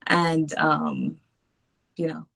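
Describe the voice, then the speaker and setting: A woman with a fairly low voice, talking easily as a guest on a video call. She chuckles a lot between thoughts and fills pauses with ums. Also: sing-song, gravelly, a bit bright. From Trinidad and Tobago. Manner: easygoing, chuckles often, many filler ums. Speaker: guest, domestic violence coach. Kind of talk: casual interview chat.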